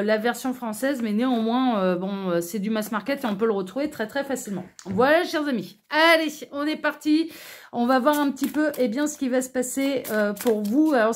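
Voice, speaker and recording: Female tarot host, slightly high voice, informal and chatty, hesitant delivery with asides, speaking straight to viewers. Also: brisk. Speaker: online tarot reader and channel host. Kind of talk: casual tarot reading to camera, addressing viewers.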